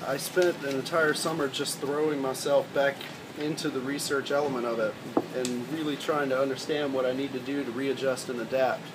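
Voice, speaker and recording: A man with an average-pitched voice talks in a group discussion. Clear ambient noise fills the room behind him. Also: steady tone, normal speed. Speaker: college instructor. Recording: noisy room with ambient hum.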